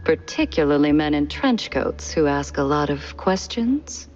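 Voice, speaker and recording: Low, slow, languid socialite. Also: female voice, very animated, a little mellow, dull audio, faint music behind. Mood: sly, advising. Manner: drawling, affected, refined. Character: languid, world-weary socialite woman, sly and elegant. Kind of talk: noir cartoon game acting.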